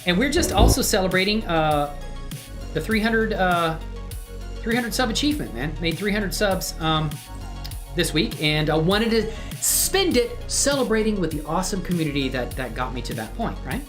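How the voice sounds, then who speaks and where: Low voiced woman streaming, super animated and friendly, chatting w viewers, faint music behind. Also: normal speed. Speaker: live streamer hosting own channel. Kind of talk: casual livestream chat. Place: indoors at a computer.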